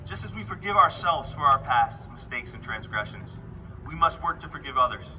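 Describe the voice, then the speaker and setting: A man giving an earnest, measured prepared speech at a rally outdoors. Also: mid-range voice, expressive, distant mic, dull audio, noisy background. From Worthington. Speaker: speaker at a justice rally.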